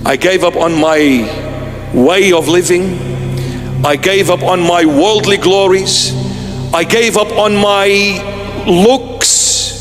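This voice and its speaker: A man's voice: high voice, takes it slowly. A bishop in his fifties preaching an earnest, emphatic sermon with repeated phrases. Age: 50s. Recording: long hall reverb with music behind.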